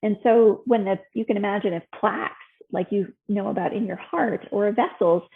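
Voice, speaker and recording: A woman's voice: medium pitch, moderate pace, gravelly, narrow, tinny audio. A woman in her fifties with an American accent, presenting an informal health class in a conversational, explanatory way. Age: 50s. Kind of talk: informal slide lecture.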